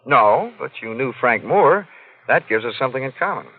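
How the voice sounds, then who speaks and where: An actor playing a wisecracking hard-boiled American detective in a 1940s radio drama, with a wry, flippant, deadpan delivery. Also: male voice, medium pitch, normal speed, breathy edge. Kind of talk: 1940s radio detective acting with first-person narration. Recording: muffled 1940s radio recording, faint music.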